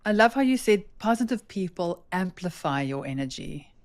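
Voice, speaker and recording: A woman's voice: fairly low pitch, lively intonation, moderate pace. A woman hosting a conversation, speaking earnestly and encouragingly about authenticity in leadership. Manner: earnest, encouraging, agrees often with "mm". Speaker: host. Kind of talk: casual conversation.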